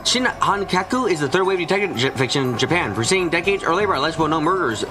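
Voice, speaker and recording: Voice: mid-range voice, sing-song, quick pace, low-quality recording. A streamer reading a mystery visual novel aloud as narrator, with small stumbles and rereadings over music. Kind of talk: fan dub narration of a mystery game.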